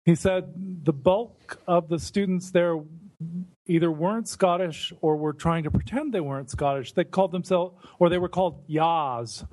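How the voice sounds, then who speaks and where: A man's voice: a little high, normal speed, a little mellow, low-quality recording. An American man hosting a casual podcast conversation, chatty and amused, stumbling over how he starts his sentences. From United States.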